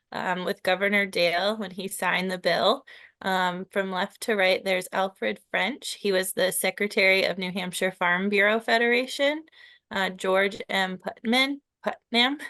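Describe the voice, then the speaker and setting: A woman's voice: medium pitch, pushed and bright, no room sound. A woman who is sick, a conservation district manager, speaking casually over a video call.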